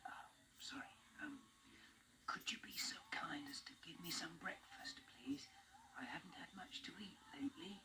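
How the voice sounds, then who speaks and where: A woman's voice: fairly low pitch, sing-song, moderate pace, pushed and bright, in a whisper, poor audio. A woman voicing a jittery, curious young boy on a space trip, stammering as he talks, in a 1970s storybook record. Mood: pleading hushed. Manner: stammering, hesitant.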